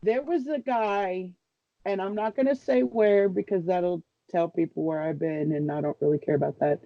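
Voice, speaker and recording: A woman's voice: fairly low pitch, moderate pace, no echo, highs cut off. A woman chatting informally and bluntly, telling an anecdote. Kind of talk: casual chat, anecdote.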